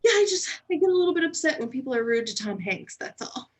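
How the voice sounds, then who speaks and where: A woman's voice: high voice, big pitch swings, fast talker. A woman giving theatrical, mock-indignant comedic commentary.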